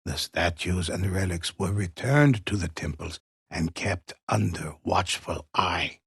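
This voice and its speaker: Very husky, gravelly old storyteller. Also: male voice, low voice, a little slow, a bit bright, no echo, studio quality, full character voice. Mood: solemn, ominous. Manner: solemn, ominous, theatrical. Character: old male storyteller narrating dark ghostly legends, grave and dramatic. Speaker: narrator. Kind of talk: dramatic scripted storytelling narration for a video game.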